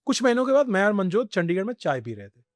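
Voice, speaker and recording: Guy from india telling stories on a podcast, bit of a higher voice, switches into english a lot, dry studio mic. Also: quick pace, dry recording. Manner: mixes Hindi with English phrases, animated storytelling. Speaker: podcast guest. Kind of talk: conversational interview storytelling.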